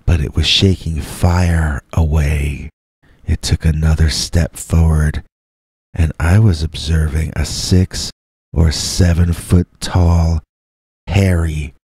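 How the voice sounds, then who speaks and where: A man narrating first-person scary stories from a script in a deliberate, measured way with dramatic pauses. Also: sits low, darkish tone, husky, dry recording. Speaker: storyteller, host reading listener stories as a Bigfoot persona.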